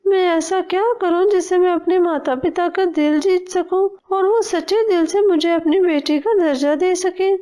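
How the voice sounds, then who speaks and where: A woman's voice: very high-pitched, fairly even intonation, normal speed, few pauses, mellow, pitched above the performer's own voice. A woman voicing a kind, dutiful young female bird in a cartoon, speaking earnestly and respectfully. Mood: sorrowful.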